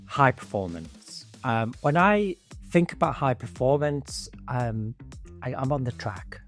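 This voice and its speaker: A man from the United Kingdom hosting an interview podcast, talking in a conversational way with fillers and restarts. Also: mid-range voice. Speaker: podcast host.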